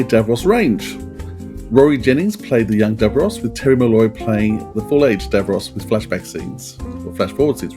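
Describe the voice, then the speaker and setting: A middle-aged man hosting a podcast, running through facts and discussing them conversationally. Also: mid-range voice. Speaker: podcast host. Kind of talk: conversational trivia rundown and discussion.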